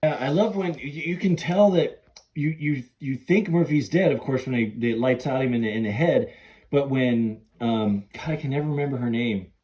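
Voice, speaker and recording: A middle-aged man hosting a podcast, chatting casually and gushing like an excited fan. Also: medium pitch, normal speed, rough recording. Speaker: podcast host. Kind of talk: casual chat between film fans.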